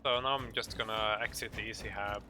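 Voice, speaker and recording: A man with a Norwegian accent giving an informal game tutorial in English, speaking hesitantly in halting phrases. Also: lowish voice, fairly even intonation, pushed and bright, slight background noise. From Norway. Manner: hesitant, halting delivery with pauses. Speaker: video tutorial narrator.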